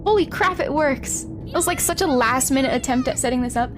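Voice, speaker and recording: A woman hosting a live stream, chatting casually with viewers in an excitable, giggly, exclaiming way. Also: sits high, brisk. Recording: background music behind voice. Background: music.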